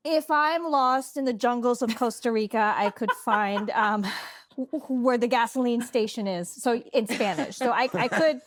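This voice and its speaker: A woman whose first language is Tagalog, an actor chatting casually in an interview, playful and quick to laugh. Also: fairly high pitch. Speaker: actor guest.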